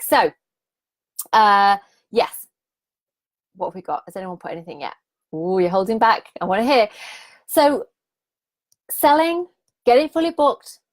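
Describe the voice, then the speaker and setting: A slightly croaky woman in her thirties with a fairly high voice coaches her viewers on a livestream. She is upbeat and repeats her points, keeps asking the audience to drop comments, and laughs easily. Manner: enthusiastic, repetitive, invites comments, laughs often. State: a bit croaky. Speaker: business coach hosting a live challenge. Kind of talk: live-streamed coaching talk.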